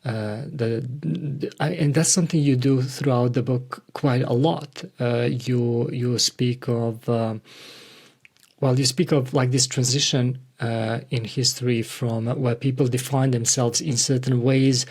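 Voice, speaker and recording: A man hosting a reflective interview, speaking hesitantly and haltingly as he searches for words. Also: mid-range voice, fairly even intonation, darkish tone, husky. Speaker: podcast host interviewing a guest.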